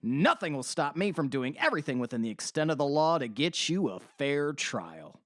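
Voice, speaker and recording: A man's voice: a little high, sing-song, normal speed, a bit bright, dry recording, clean studio sound. A man playing a formal, polite, slightly hesitant middle-aged defense lawyer in an audio drama. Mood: assured, earnest.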